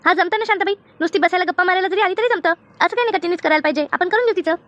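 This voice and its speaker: Woman acting as feisty cartoon village woman, extremely high and very fast, blunt, sassy, dull lo-fi sound. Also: fairly bright tone. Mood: agreeable. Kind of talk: colloquial comedy cartoon dialogue.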